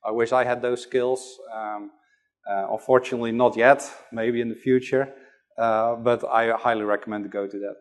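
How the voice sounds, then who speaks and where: Dutch-accented man giving a flat presentation. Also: mid-range voice, normal speed. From Netherlands. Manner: hesitant, many fillers. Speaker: conference presenter. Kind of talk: conference talk.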